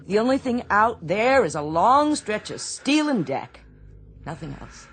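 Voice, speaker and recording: A woman playing a no-nonsense Navy ship's doctor in a radio drama, husky, firm and insistent. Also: mid-range voice, sing-song, unhurried, a little hiss or hum. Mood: steady, probing.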